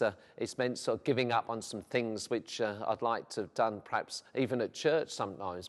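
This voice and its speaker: South London vicar with a chatty ramble. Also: male voice, on the low side. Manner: chatty, rambling with frequent "uh" fillers. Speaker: vicar and football club chaplain. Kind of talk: documentary interview. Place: church. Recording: long hall reverb.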